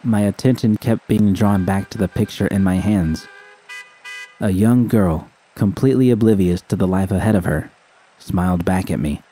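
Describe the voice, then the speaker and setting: A man's voice: low-pitched, moderate pace, mellow, rough recording. A man reading a scripted first-person story as narration. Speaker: audiobook reader of fan fiction.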